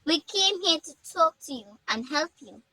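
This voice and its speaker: A woman's voice: high voice, animated, normal speed, sharp tone, no room sound, voice pushed up, poor audio. A woman voicing a scolding, dismissive village elder in a story, harsh and scornful.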